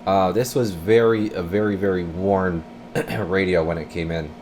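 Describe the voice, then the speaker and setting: A man with a very low voice talks casually through his bench work like a repair technician. His delivery is informal and rambling, explaining as he goes. A steady noise sits clearly behind him in the workshop recording. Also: normal speed. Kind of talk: casual hands-on demonstration vlog. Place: indoor radio repair workbench.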